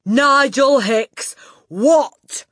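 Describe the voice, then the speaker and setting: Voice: mid-range voice, expressive, a little slow, a bit bright, no room sound, clean studio sound, pitched above the performer's own voice. A storybook narrator voicing a gigantic, tyrannical headmistress who barks and sneers insults with contempt. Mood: enraged. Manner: barking, bellowing, sneering insults.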